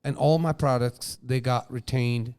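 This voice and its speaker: Smooth-voiced Portuguese man telling stories. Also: mid-range voice, measured pace. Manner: animated storyteller, restarts and repeats phrases. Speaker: guest, restaurant entrepreneur. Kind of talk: casual storytelling interview.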